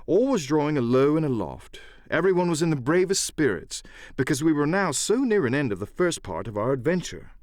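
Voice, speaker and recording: A man narrating an adventure novel as dramatic, scripted storytelling in a measured literary style. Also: a little high, sing-song, a little mellow, no echo, clean studio sound. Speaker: audiobook narrator and voice actor.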